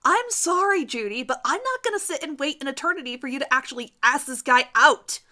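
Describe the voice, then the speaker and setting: A woman voicing an aggressive, jealous high school girl, loud and sneering, in a dramatic story reading. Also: expressive, brisk. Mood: impatient, yelling.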